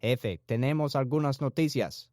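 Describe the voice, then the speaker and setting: A man's voice: mid-range voice, moderate pace, a little mellow, no echo, clean studio sound, voice pushed up, natural voice. A man voicing a deferential male cartel messenger in an audiobook, reporting urgently to his boss. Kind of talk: audiobook character dialogue.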